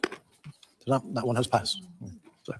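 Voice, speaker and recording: A man's voice: mid-range voice, little pitch movement, slow pace, a little hiss or hum. Hesitant man chairing a formal meeting. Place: council chamber.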